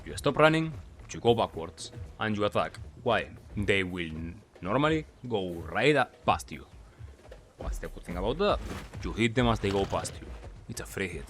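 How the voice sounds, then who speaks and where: Male gaming commentator, fairly low pitch, explains tactics in brief phrases with question-and-answer delivery, music underneath. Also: sing-song, normal speed, fairly bright tone. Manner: asks himself questions and answers them. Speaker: gaming video creator. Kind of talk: gameplay commentary.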